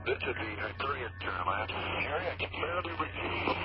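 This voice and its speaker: A man's voice: mid-range voice, sing-song, fairly quick, loud music behind the voice. An actor playing a male spacecraft pilot calling in with clipped phrases through a distant radio transmission. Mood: strained. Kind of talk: science fiction radio drama acting. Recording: dull, faint radio-filtered old recording.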